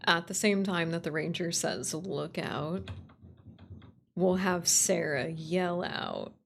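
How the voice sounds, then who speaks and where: A woman talks casually to herself on a work-along stream, repeating words and trailing off as she drafts. Her voice sits a little low with plenty of fry, and the pace is very slow. Typing and a faint background noise come through. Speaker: streamer, writer and voice actor. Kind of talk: casual work-along stream, thinking aloud while writing. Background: computer keyboard typing and clicks.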